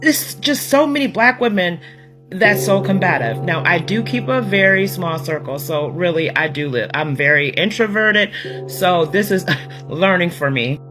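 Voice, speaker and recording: A woman's voice: lowish voice, animated, a little slow, a bit bright. A woman telling a story in a chatty, amused way and laughing between her remarks. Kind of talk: casual storytelling. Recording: guitar music under the voice. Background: music playing.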